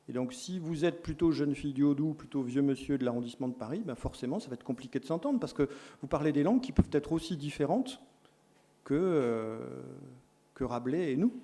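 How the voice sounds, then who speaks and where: A middle-aged man lecturing teacher tutors, explaining points with lively emphasis and quick self-corrections. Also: medium pitch. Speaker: lecturer in education sciences.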